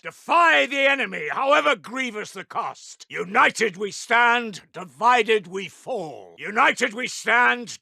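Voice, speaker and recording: A man's voice: high voice, creaky, pushed and bright, dry recording, studio quality, full character voice. A man playing a stern, zealous wartime intelligence officer in a strategy game, giving formal, clipped, martial announcements. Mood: rousing, defiant. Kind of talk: scripted game unit announcements.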